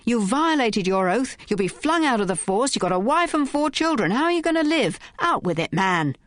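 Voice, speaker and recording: A woman's voice: mid-range voice, sing-song, normal speed, no room sound, studio quality, pitched above the performer's own voice, natural voice. A woman voicing a short-tempered male police detective inspector who barks scornful questions. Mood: angry.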